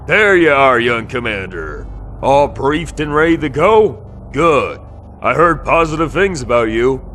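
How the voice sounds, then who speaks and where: A man's voice: mid-range voice, sing-song, a little slow, fairly bright tone, faint music behind. Man acting a gung-ho veteran army colonel. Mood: commanding, stirring. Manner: gruff commanding military pep talk. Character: gung-ho veteran American colonel, stern but encouraging.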